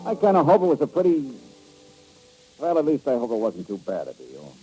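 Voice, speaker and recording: A tough, sardonic American detective from an old radio drama. His voice is mellow and swings widely in pitch as he talks in a clipped, hard-boiled way. The recording is vintage radio with faint music. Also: huge pitch swings, fairly quick, rough recording. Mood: wry, reflective. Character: tough, sardonic American insurance investigator, adult man. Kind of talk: old-time radio detective acting and narration.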